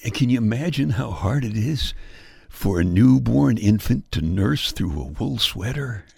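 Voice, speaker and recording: A man's voice: medium pitch, unhurried, creaky, background noise. A man with a Brooklyn accent giving a wry, scripted comic monologue, chuckling at his own jokes. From Brooklyn, New York. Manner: wry deadpan storytelling with chuckles. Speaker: radio humor host.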